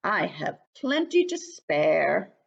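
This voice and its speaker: A woman voicing a kind, generous male storybook frog with a croaky voice. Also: fairly low pitch, sing-song, slow pace, a little hiss or hum, voice pushed down, full character voice. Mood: kindly, reassuring. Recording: dull, lo-fi webcam sound.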